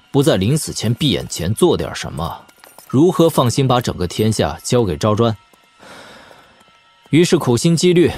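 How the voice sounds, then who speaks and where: An actor playing a shrewd, sardonic young lord in a martial-arts audio drama, expounding wryly at length. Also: male voice, medium pitch, darkish tone. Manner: wry, expounding at length, with snorts and sighs. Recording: music behind. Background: background music.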